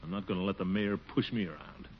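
An actor playing a pompous, blustering middle-aged city commissioner and uncle, with an American accent, in 1940s radio comedy style. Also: male voice, sits low, quick pace, close to an everyday voice. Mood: grumbling, put-upon. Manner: blustering, swooping, theatrical exclamations. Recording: muffled, noisy 1940s radio recording.

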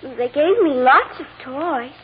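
A woman's voice: sits high, slow pace. An actress playing an earnest, stubborn six-year-old girl, childlike and innocent, in a 1940s radio drama. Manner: childlike, innocent, plain-spoken. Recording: dull, noisy old radio recording.

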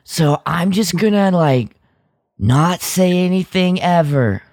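A woman putting on a mock-sincere, halting voice as an aloof sci-fi heroine who hides her love. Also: lowish voice, animated, darkish tone, exaggerated voice. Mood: mock tender.

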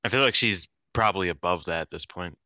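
Sick, nasal male co-host with a low, bright voice on a dry close mic; animated casual banter. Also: fast, no echo. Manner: animated, jokey, swears casually. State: congested, sick. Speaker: podcast co-host. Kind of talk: casual chat.

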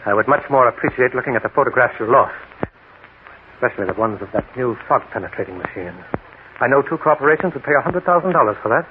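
An actor playing a menacing, smooth crime boss in a 1940s radio drama, sardonic and mockingly polite. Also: male voice, medium pitch, animated, fast talker, lightly acted. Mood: cold, reproachful. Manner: sardonic, mock-polite, insinuating. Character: smooth, menacing male crime boss buying stolen photographs. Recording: noisy, tinny 1940s radio recording.

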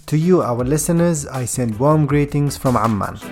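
A man with a gravelly voice, reading a scripted podcast introduction as host. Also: mid-range voice, animated, moderate pace, a little mellow, faint music behind.

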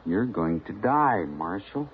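A man's voice: low voice, big pitch swings, slow pace, full character voice. A rambling nervous whiskey salesman. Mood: uneasy. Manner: rambling, nervous, oddly matter-of-fact. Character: odd, fussy traveling whiskey salesman, middle-aged man. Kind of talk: 1950s radio drama acting. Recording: tinny old radio broadcast, faint hiss.

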